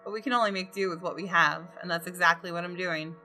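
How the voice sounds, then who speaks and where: A woman reads first-person game narration aloud in a crisp, bright tone. Her pitch is slightly low for a woman, and her delivery is deliberate and dramatic. Soft music sits behind the voice. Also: fairly even intonation. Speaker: gaming video host. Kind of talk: reading visual novel narration aloud.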